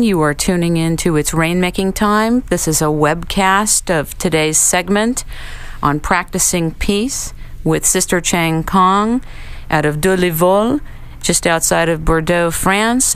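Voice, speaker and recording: Woman, webcast host, lowish voice, effusive announcer delivery. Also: a little slow, smooth. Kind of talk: scripted show introduction and interview.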